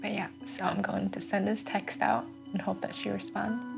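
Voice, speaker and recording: A teenage girl, a high school senior, speaking to camera in a hesitant, halting way. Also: level tone, gravelly. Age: teens. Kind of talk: confessional documentary. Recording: documentary music bed over voice. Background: music.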